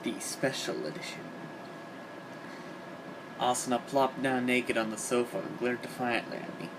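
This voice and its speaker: Male reader, mid-pitched, dramatic delivery of comic fan fiction, noisy amateur setup. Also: steady tone, moderate pace. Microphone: home microphone on an internet call. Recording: hobby voice-call recording, clear background noise.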